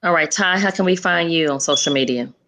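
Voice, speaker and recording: A woman's voice: sits low, a little fast, bright, forceful tone. A woman hosting a casual interview, speaking as the show's host. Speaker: show host.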